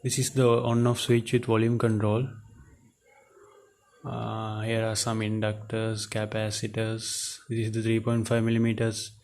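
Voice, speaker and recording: A man giving an unscripted product demonstration in halting, hesitant short phrases, as the presenter of a gadget teardown video. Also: fairly low pitch. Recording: clear steady background noise.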